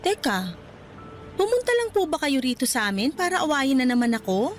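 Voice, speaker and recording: A fairly high young woman's voice, an actress as a new mother in a radio drama. She is polite but answers back defensively, with faint music behind her. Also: sing-song, fairly quick. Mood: hurt, accusing. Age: young adult. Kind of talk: Tagalog radio drama acting.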